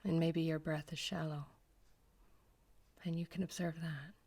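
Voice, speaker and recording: A woman guides a meditation in a low, breathy half-whisper. Her voice stays even and unhurried, with little rise or fall. She repeats her phrases slowly and gently. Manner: slow, soft, gentle repetition.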